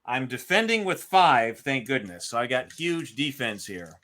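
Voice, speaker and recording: A man's voice: medium pitch, sing-song, unhurried, fairly bright tone. A man hosting a solo board game session, talking casually through his moves and dice counts as he thinks aloud. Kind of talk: casual live play-by-play with narrated moves.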